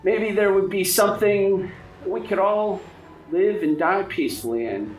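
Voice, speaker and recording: A man voicing a talkative, polite elderly gentleman in a tabletop game, with a hesitant, rambling, folksy way of speaking. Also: high voice, a little slow, soft background music, pitched above the performer's own voice. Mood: chatty, wistful. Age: older. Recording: roomy echo, background noise.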